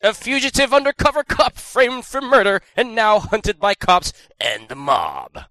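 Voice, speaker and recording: Young man, hammy trailer-voice reading, high bright lively voice, dry close mic. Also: measured pace, no echo. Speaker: co-host. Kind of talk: comedic dramatic reading of box copy.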